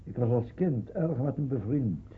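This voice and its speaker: A man acting in a radio drama as a devout, solemn father of a family, speaking deliberately. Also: medium pitch, lively intonation, a little hiss or hum, natural voice. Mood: subdued. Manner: slow, deliberate. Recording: thin phone-like radio drama recording.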